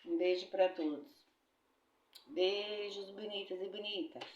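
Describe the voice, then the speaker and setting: A woman's voice: medium pitch, measured pace, darkish tone. A middle-aged Brazilian woman with a flu, chatting casually to her viewers from her home kitchen while washing dishes. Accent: Brazilian Portuguese. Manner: chatty, addresses viewers as "gente", religious blessings. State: recovering from a bad flu. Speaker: vlogger. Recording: roomy, dull, noisy kitchen recording.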